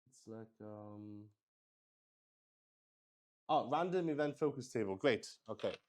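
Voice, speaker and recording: Slow, low-voiced man thinking aloud. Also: animated. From Luxembourg. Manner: halting, musing out loud. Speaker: solo tabletop roleplay player and streamer. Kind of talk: improvised game narration, thinking aloud.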